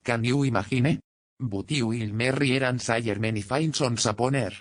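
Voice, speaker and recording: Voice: mid-range voice, moderate pace, no room sound, clean studio sound, voice pushed down, lightly acted. A narrator voicing a wealthy, boisterous Spanish colonial nobleman, effusive and self-satisfied, in an audiobook. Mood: eager, boastful.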